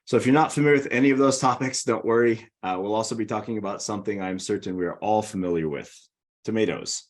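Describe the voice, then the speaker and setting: A man's voice: on the low side, quick pace. A middle-aged man with a Hawaiian accent, presenting a webinar over a video call in a friendly, organized way. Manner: friendly, organized, walks through slides.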